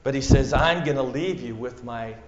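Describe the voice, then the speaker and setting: A man from central Minnesota with a Minnesota accent, preaching a sermon with dramatic swings from loud to hushed. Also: mid-range voice, moderate pace, a little hiss or hum. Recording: echoey room, muffled sound.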